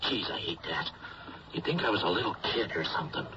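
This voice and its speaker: A dull, very noisy radio drama recording of a man playing a bitter sailor. His low voice swings widely as he grumbles sardonically. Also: lively intonation, a little fast, close to an everyday voice. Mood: grumbling. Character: bitter, resentful sailor, second man on a fishing boat. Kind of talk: first-person radio drama monologue and dialogue. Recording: dull radio recording, loud background noise.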